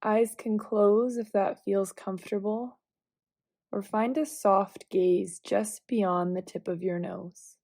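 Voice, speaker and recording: Female meditation guide: unhurried, soft-edged mellow tone, gentle cues, very dry close sound. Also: medium pitch, no echo. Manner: calm, gentle, measured cueing with long pauses. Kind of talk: guided visualization meditation, instructional.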